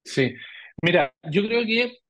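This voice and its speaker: Chilean male guest, middle-aged, slightly high voice, measured and halting, restarts words often. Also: lively intonation, a bit bright, lo-fi sound. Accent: Chilean Spanish. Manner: thoughtful, repeats words while searching for phrasing. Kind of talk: interview answers.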